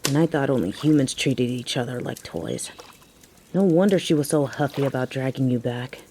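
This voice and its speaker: A woman's voice: a bass voice, expressive, moderate pace, warm tone. A woman playing a tough, sardonic but caring young biker girlfriend in a roleplay audio drama, talking in casual slang with a teasing, wry manner. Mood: somber, then sympathetic, probing. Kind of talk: scripted roleplay audio drama, intimate one-sided conversation. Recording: faint background noise.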